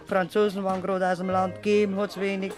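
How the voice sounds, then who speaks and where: An older Bavarian peasant aunt, gossiping and scolding in an earthy way, as an actress plays her. Her pitch sits in a middle range. Faint music hums behind her in this classic radio play. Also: flat delivery, fairly quick, lightly acted.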